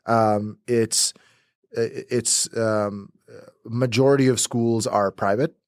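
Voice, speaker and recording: A man's voice: fairly low pitch, steady tone, takes it slowly, a little mellow, no room sound, studio quality. A young man from Pakistan whose first language is Urdu, talking casually as a podcast guest with many restarts and fillers. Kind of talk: casual conversational interview.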